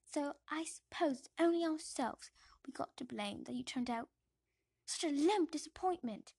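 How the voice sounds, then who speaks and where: A woman reading aloud and voicing a harsh middle-aged aunt who scolds loudly, sharply and with contempt. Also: high-pitched, expressive, normal speed, dry recording, clean studio sound, pitched above the performer's own voice. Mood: scolding.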